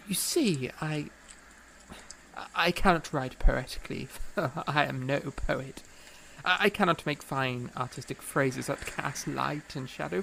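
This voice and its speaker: Guy acting young composer for an audio drama, creaky voice, earnest n stammery, old-timey formal talk, bit of background noise. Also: fairly high pitch, rough recording. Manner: stammering, effusive, old-fashioned formal speech.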